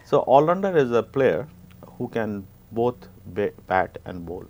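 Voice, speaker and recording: A man's voice: on the low side, big pitch swings, a little slow, darkish tone. A man hosting a sports talk show, asking questions and patiently explaining the basics of the game. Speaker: show host. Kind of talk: interview hosting.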